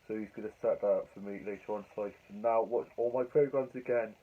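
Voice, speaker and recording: Croaky young guy rambling about his day, kinda low monotone voice, sounds like a phone line. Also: fast talker. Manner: chatty, rambling, fillers and restarts. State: croaky from a cold. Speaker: vlogger. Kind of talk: casual diary vlog.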